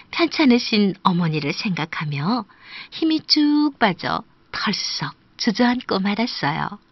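A woman narrating a children's folk tale in a gentle, sing-song manner that sometimes drops to a hush. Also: mid-range voice, slightly rough, dry recording, muffled recording. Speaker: storyteller. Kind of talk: scripted children's storybook narration.

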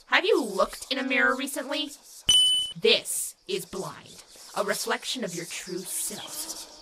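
A woman's voice: medium pitch, expressive, a little slow, heavy background noise, lightly acted. A woman voicing a sinister, mocking demon child in a dramatic comic dub, taunting cruelly and sometimes dropping into a whisper.

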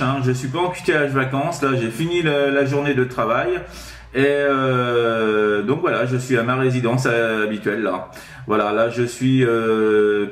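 A man's voice: medium pitch, echoey room. A man making casual radio-contact chat as an amateur operator, repeating himself and ending phrases on little tag words. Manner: repetitive, with frequent tag words. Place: indoors at home, sixth-floor flat.

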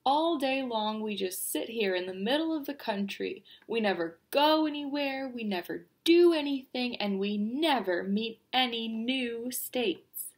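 A woman's voice: high-pitched, voice pushed up. A woman voicing a bored, restless male storybook character who complains and pleads in a moaning, earnest way. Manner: moaning, drawn-out complaints turning to eager pleading. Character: bored, restless, glum male cartoon state, Kansas.

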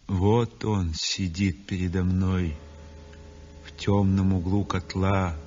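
An actor playing a brooding, exhausted painter in a 1960s radio drama monologue, grave, introspective and intense. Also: male voice, sits low. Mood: weary, brooding. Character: brooding, tormented, exhausted male realist painter. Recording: muffled 1960s radio recording.

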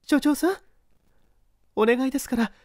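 A man's voice: very high-pitched, normal speed, a little mellow, no room sound, studio quality, voice pushed up. A man voicing a genteel married lady in an audiobook, politely imploring. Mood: pleading. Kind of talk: audiobook character acting.

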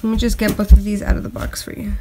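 A woman giving a casual, unscripted product review in a hesitant, halting, informal way, recorded on a handheld device in a bedroom. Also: medium pitch, little pitch movement, warm tone. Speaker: sneaker reviewer. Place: bedroom at home. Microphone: handheld phone or camera. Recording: clicks and light background noise.

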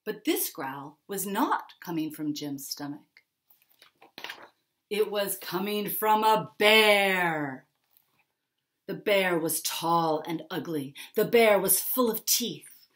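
A woman in her forties narrating a children's story expressively, with dramatic pauses and emphasis. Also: on the low side, expressive, fairly bright tone. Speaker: picture-book author reading aloud. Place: small furnished room at home, indoors.

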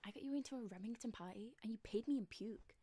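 A young woman playing a domineering, sarcastic high-school queen bee in a soft-spoken, often whispered ASMR roleplay, close on the mic. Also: fast, breathy edge, no room sound. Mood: scornful, angry. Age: young adult.

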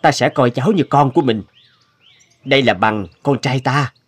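An actor playing a kindly, wealthy merchant in an animated folktale, speaking in a calm, fatherly manner. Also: mid-range voice, normal speed, breathy edge, lightly acted. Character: kindly, wealthy merchant adopting a lost boy.

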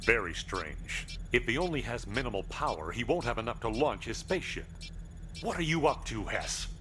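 A man's voice: mid-range voice, animated, moderate pace, background noise, close to an everyday voice. A man playing a shrewd, commanding military lord in an anime dub, speaking with authority. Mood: suspicious.

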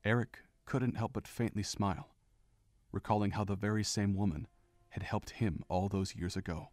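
Low-voiced male narrator. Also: steady tone, normal speed, a little mellow, no room sound. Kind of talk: scripted narration.

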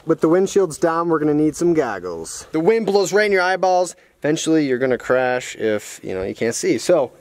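A man's voice: fairly high pitch, big pitch swings, moderate pace, few pauses, dry recording. A young man chatting casually and slangily as a vlogger, laid-back, recorded outdoors on a handheld camera. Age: young adult. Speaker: vlogger, show host. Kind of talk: casual vlog chat. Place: outdoors in a dirt yard by garages. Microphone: handheld camera at arm's length. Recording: noisy outdoor camera audio with vehicle noise.

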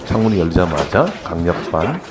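A middle-aged man, casually retelling a film he acted in, unhurried with frequent hesitant pauses. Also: low voice, animated, noisy background. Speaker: film narrator and actor. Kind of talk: casual spoken film recap.

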